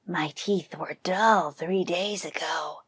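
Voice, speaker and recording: A woman voicing a sly, hungry, boastful tiger in a folktale, with a whispery, growling, drawn-out delivery. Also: fairly low pitch, breathy edge, no room sound, exaggerated voice. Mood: menacing.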